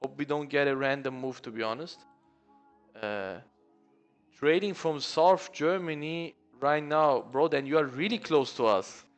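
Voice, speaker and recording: A man hosting a live trading stream in a relaxed, chatty way. He reads viewer comments aloud, greets them by name and often calls them "bro.". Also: a little high, measured pace, smooth, faint music behind. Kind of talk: casual live-stream chat.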